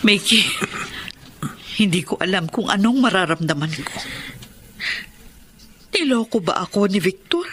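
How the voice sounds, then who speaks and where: A woman's voice: mid-range voice, lively intonation, measured pace, long pauses, a bit bright, slight background noise. An actress playing a heartbroken middle-aged woman in a radio drama, speaking in an emotional, pleading way. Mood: hurt. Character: heartbroken woman Nene, former mistress. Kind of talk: Tagalog radio drama acting.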